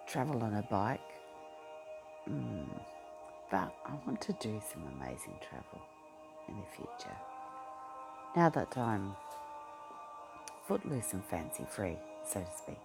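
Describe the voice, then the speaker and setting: A man's voice: lowish voice, vocal fry. A man with a broad Australian accent chatting casually in a vlog, rambling and getting sidetracked, with many ums and restarts. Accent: Australian, broadened by life in Queensland. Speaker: vlogger. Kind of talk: rambling personal chat. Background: a pop song playing.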